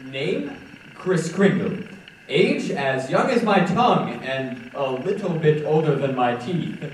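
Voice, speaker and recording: A young man acting as an easygoing, wry fellow in a 1940s radio-style play. His pitch moves freely, and he is heard far off in a very echoey hall. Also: expressive, takes it slowly, a little hiss or hum. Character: easygoing, wry man reading a form aloud.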